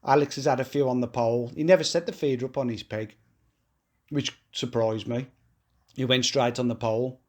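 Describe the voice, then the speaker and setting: A man's voice: mid-range voice, fairly even intonation, brisk. A man with a blocked-up nose from a cold, explaining his fishing tactics step by step in a casual, matter-of-fact way, outdoors at a fishing lake. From England. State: congested from a cold. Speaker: match angler vlogging his match.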